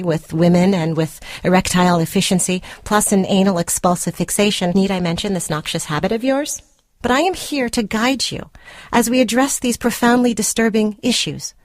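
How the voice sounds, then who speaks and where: A woman's voice: mid-range voice, no room sound, close to an everyday voice. Woman acting a prim, controlling spurned girlfriend, dry close sound. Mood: self-righteous, reproachful. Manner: prim, clinical, precisely worded, condescending. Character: controlling, self-righteous spurned girlfriend dictating a formal letter. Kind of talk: dramatic short-story reading for radio. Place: radio studio.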